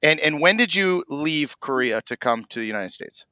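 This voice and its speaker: A man's voice: fairly high pitch, animated, moderate pace, bright, forceful tone, smooth, no echo. A man hosting a podcast, friendly and asking follow-up questions of his guest. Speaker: podcast host. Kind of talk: interviewing a guest.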